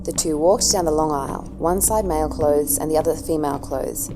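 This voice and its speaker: A woman's voice: sits low, a little fast, noisy background. A woman narrator reading scripted story narration for an audio drama. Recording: music underneath.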